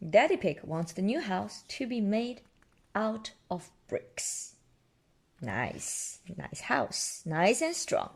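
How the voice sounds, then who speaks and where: A woman storyteller reading children's picture books aloud in an expressive, deliberate way, adding her own small asides. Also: mid-range voice, gravelly, husky. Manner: expressive, deliberate delivery for toddlers. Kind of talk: children's read-aloud narration with ad-libbed asides.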